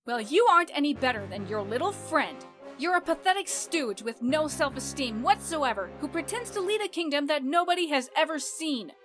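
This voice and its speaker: A woman voicing a haughty, indignant young space princess in an animated comic dub, articulate and scolding. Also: high-pitched, moderate pace, faint music behind. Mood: scornful.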